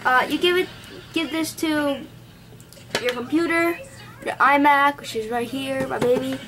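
A woman's voice: sits high, steady tone, unhurried. A young woman with an Indian accent, casually reviewing a gadget at her home desk while thinking aloud and correcting herself. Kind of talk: casual unboxing vlog. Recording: noisy home camera recording.

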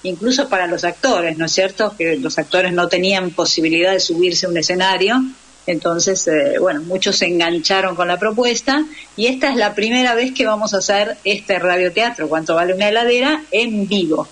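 A woman's voice: lowish voice, brisk, fairly bright tone, poor audio. A woman with an Argentine accent talking in a radio interview as a guest who teaches radio drama. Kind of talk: interview conversation.

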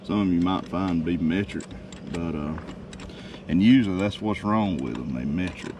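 A man, casually explaining a how-to job in a driveway with a relaxed, folksy manner and many fillers. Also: sits low, normal speed. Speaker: DIY video host. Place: outdoors in a driveway. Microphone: camera mic. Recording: distant outdoor camera mic with noise.

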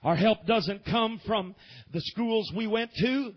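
A man preaching a sermon as pastor, swinging between shouted, fervent climaxes and low, quiet stretches, with frequent "amen". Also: slow, lo-fi sound.

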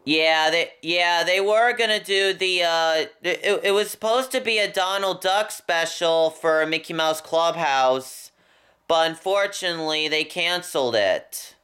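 A young man talking casually and unscripted to a camera in a bedroom, halting and repeating his phrases. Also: on the high side, bright, forceful tone, clear, resonant tone. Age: young adult. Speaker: vlogger. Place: small furnished bedroom, indoors. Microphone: camera at about arm's length.

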